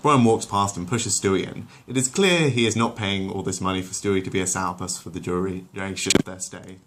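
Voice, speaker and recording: A man's voice: deep voice, fairly quick, noisy background. A man reading scripted comic story narration in a wry, wordy, mock-literary way.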